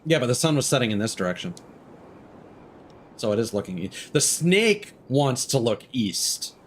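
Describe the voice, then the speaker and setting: A man's voice: medium pitch, very animated, normal speed, a little hiss or hum. A man giving casual, joking and sweary gameplay commentary as a streamer. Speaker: game streamer. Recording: music behind the voice.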